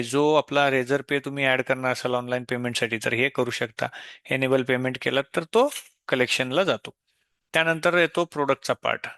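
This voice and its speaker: Male software demo presenter, Maharashtrian accent. Forward, bright tone, unscripted step-by-step explaining, very dry room. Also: medium pitch, no room sound. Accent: Indian, Maharashtrian. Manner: explanatory, step by step, mixing in English tech terms. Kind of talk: unscripted software tutorial walkthrough.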